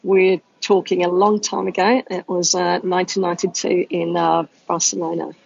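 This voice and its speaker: A middle-aged woman with a mixed, hard-to-place accent talks through her answers in an even, flat tone. Her voice has a gravelly edge, and she fills pauses with ums. The audio sounds dull and lo-fi. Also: on the low side. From Austria. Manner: steady, many ums and uhs. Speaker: guest, agile coach. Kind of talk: casual interview answers.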